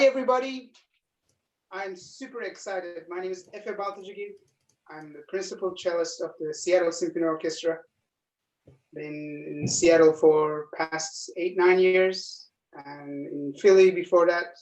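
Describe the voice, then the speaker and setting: A man in his forties with a Turkish accent, hosting a casual live chat as a cellist, speaking in a friendly, halting way with many ums. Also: fairly high pitch, far from the mic. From Turkey. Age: 40s. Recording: echoey room, voice off-mic.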